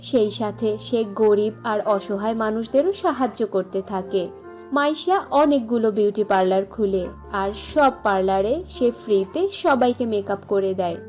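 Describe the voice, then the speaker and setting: A woman's voice: medium pitch, a little slow, darkish tone, soft background music. A woman reading scripted fairy-tale narration as the storyteller.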